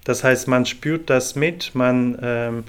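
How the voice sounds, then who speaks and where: A young man from Ukraine, a volunteer organizer, answering interview questions in a slow, measured way with many pauses and fillers. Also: medium pitch, smooth.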